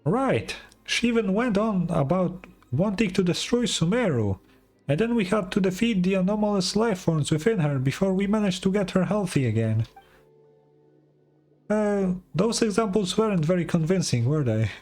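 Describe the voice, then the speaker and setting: A man voicing a small, excitable video game companion with a whiny, curious, exclamatory manner. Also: high voice, moderate pace, faint music behind, voice pushed up. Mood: pleading, whiny. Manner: exclamatory, stammering.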